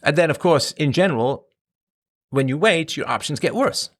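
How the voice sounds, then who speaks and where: A man's voice: expressive, moderate pace. A middle-aged man from Ireland and England, rambling casually as a host answering his live chat. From born in Ireland, grew up in England. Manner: rambling, conversational, emphatic swings in pitch. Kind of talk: casual monologue.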